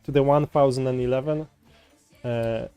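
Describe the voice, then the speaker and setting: A man with a Polish accent talks in a loose, wandering way, often restarting his sentences as he chats with viewers. His voice is warm and dark rather than bright. Folk music plays quietly in the background. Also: medium pitch, fairly even intonation, moderate pace, clean tone, dry recording, highs cut off. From Poland. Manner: rambling, frequent restarts, chatty with viewers. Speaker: coding livestreamer. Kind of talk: casual livestream chat while coding. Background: soft folk music.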